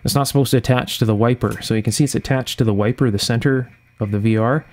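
Man, medium-pitched voice, methodical, thinking aloud, unscripted step-by-step technical demonstration. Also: steady tone, fast talker, darkish tone. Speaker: host of an electronics repair channel. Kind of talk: unscripted hands-on repair demonstration, explaining each step. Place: indoor workshop bench.

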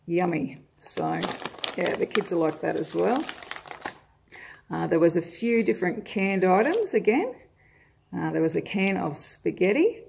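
Australian woman, middle-aged, low gravelly voice, casual haul vlogger at home on a phone. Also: very animated, a little slow, long pauses, darkish tone, a little hiss or hum. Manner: friendly, chatty, with frequent "uh" fillers. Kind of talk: casual grocery haul vlog. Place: home, indoors, at a table of groceries.

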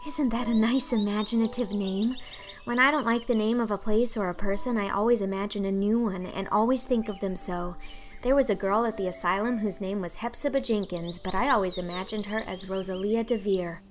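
A woman's voice: mid-range voice, fairly even intonation, brisk, slight background noise, close to an everyday voice. A woman voicing a dreamy, talkative, imaginative orphan girl in an audiobook, breathless and earnest. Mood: enraptured, chatty.

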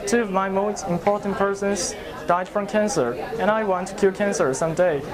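A young man, speaking quickly and earnestly. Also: high voice. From China. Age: late teens. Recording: crowd chatter behind.

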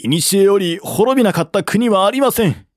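Court envoy acted by a male reader, high-pitched, polite, insistent, formal historical audiobook delivery, dry sound. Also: lively intonation, normal speed, no echo. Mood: argumentative. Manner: formal, deferential, argumentative.

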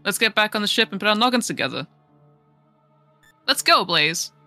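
A woman plays a young, determined cartoon animal hero in a game fandub. The voice is bright and forward, moving with lively pitch, recorded dry. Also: normal speed, no room sound, faint music behind. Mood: eager.

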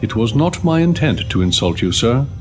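A courteous artificial butler, acted by a man, speaks with deferential precision and takes everything literally. The medium-pitched voice moves expressively over clear background music, in a science fiction audio drama. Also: sing-song, moderate pace, lightly acted. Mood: polite, unruffled. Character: polite literal-minded alien station host program, butler manner. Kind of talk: sci-fi audio drama acting.

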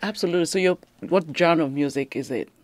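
A middle-aged woman hosting an interview in a radio studio, speaking on a boom mic and encouraging her guest with frequent affirmations. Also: quick pace, a little hiss or hum. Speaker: interview host. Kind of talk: casual interview talk.

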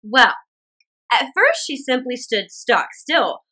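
Very animated high-pitched woman storyteller. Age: middle-aged. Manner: theatrical, expressive, with drawn-out words. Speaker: children's storyteller. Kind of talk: animated read-aloud storytelling for young children.

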